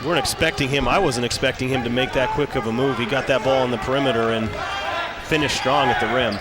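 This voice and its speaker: A man with a medium-pitched voice works as color analyst at a live basketball game. He explains in a conversational manner, often doubling back to rephrase. He speaks from courtside in an arena. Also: quick pace, over a noisy crowd.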